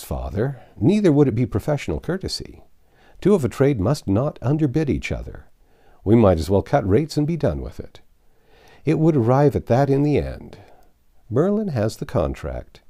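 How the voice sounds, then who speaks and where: Man as a wry, lively-pitched confident magician. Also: moderate pace. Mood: firm, wry. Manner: reasoning, politely self-assured. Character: confident, wry self-styled magician, male outsider.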